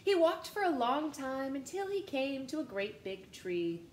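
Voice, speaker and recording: A woman in her thirties reading a children's picture book aloud in a living room, with an animated, sing-song manner that speaks straight to young listeners. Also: sits high, roomy sound, a little hiss or hum. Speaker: storytime reader. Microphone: camera in front at close range.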